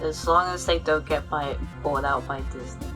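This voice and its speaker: A woman's voice: sits low, normal speed, fairly bright tone, faint music behind. A woman co-hosting a casual opinion chat, speaking informally with somewhat hesitant phrasing. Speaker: co-host.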